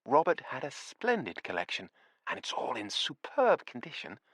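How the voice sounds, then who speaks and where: A man reading an audiobook, voicing a sweet, genteel elderly landlady in a high, fluttering voice. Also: wildly swooping pitch, moderate pace, a bit bright, slightly rough, no echo, full character voice. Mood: coaxing.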